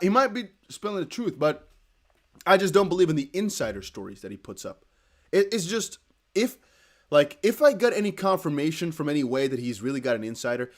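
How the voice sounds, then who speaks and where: A young man with a Dutch accent, hosting a crypto livestream in casual chat, reading viewer comments aloud. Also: on the high side. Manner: chatty, animated, reads viewer comments aloud with quick laughs.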